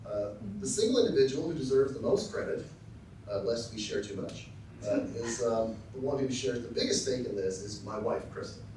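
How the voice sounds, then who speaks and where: A middle-aged man from Texas giving a formal acceptance speech with dry, self-deprecating humor, often pausing with ums and uhs. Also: medium pitch, expressive, a little mellow, slight background noise. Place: large lecture hall. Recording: very echoey hall, voice from far off.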